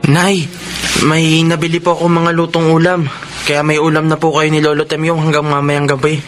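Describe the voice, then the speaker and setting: An actor playing an earnest, devoted young delivery rider and loving son in a Filipino radio drama. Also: male voice, on the high side, completely flat delivery, moderate pace, over loud music, natural voice. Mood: tender, dutiful. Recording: noisy radio drama sound with background ambience.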